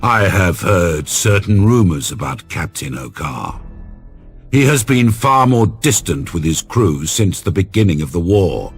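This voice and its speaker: Deep, raspy, stern inquisitor. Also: male voice, very animated, a little slow, full character voice. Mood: suspicious. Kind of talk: video game cutscene acting. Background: music.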